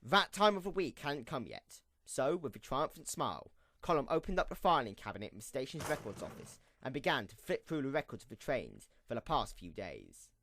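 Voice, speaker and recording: A man's voice: mid-range voice, big pitch swings, a little fast, hardly any pauses, fairly bright tone, no room sound. A man reading scripted story narration as the narrator of an audio drama.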